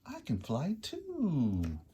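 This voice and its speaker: A man voicing a young female bat raised among birds, eager and wide-eyed, in a raised squeaky voice. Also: wildly swooping pitch, very slow, mellow, slight background noise, heavily acted. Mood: hopeful.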